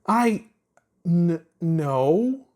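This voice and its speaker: A man's voice: on the high side, animated, drawn-out words, a little mellow, voice pushed up. A man voicing a defensive, easily flustered young monster girl for a story. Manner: indignant, easily flustered, stammering.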